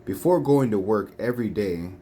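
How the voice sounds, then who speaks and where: A woman reading children's storybooks aloud in a deliberate, phrase-by-phrase way. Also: rumbling low, animated, dark tone. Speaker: storybook reader. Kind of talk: children's read-along narration. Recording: faint background noise, home recording.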